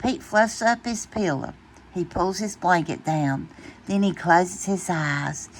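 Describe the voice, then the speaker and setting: A woman reading a children's bedtime story aloud in an expressive, deliberate way. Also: lowish voice, slight background noise. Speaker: storytime reader.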